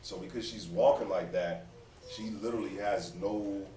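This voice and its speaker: A man with a low voice tells a relaxed story in casual chat. The sound is roomy and echoey, and the mic is some distance away. A faint hiss sits underneath. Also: normal speed.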